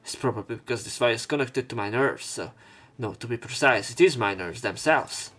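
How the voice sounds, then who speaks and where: A man's voice: mid-range voice, brisk, a bit bright, faint music behind. A man hosting a let's play, reading visual novel narration aloud in a dramatic way, with commentary. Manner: acts out lines and exclamations.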